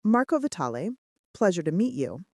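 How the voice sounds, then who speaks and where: A woman voicing a smooth, confident man out on a date in an audiobook romance, speaking politely. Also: lowish voice, big pitch swings, moderate pace, a little mellow, dry recording, clean studio sound. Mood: smooth confidence.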